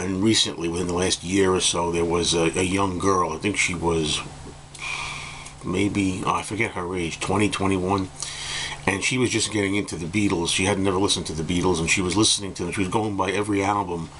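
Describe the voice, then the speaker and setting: A middle-aged man speaks in a low voice that is a little hoarse, as if he has just got up. He rambles casually to the camera, hesitating and circling back over his points. Faint noise sits behind him in a room at home. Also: a bit bright. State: slightly hoarse, just woken up. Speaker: music vlogger reviewing albums. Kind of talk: casual opinion talk to camera. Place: indoor room with bookcases at home.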